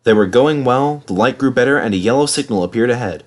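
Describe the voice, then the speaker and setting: A man with a medium-pitched voice reads a children's story. His narration is measured, with a dramatised storyteller's delivery. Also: expressive. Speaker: storybook reader.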